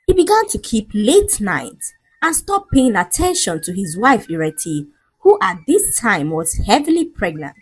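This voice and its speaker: Expressive woman narrating a folktale, slightly husky. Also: mid-range voice, unhurried, rough recording. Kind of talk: scripted dramatic storytelling narration.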